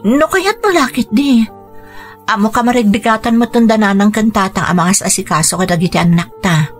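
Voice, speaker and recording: A woman acting a gentle, caring family woman in an Ilocano radio drama, speaking in an earnest, measured way. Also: mid-range voice, faint music behind, natural voice. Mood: gently persuasive.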